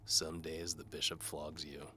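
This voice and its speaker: A man's voice: very deep voice, flat delivery, unhurried, sharp tone, a little hiss or hum, exaggerated voice. A man voicing a world-weary, wisecracking knight for hire in an audio drama, with a sardonic, hard-boiled manner. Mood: wry. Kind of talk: audio drama character acting.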